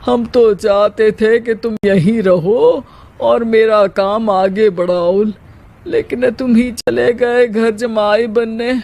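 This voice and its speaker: A woman's voice: on the high side, fairly even intonation, takes it slowly, darkish tone. A woman voicing a plain-spoken, disappointed older father in a cartoon story. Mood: disappointed, coaxing.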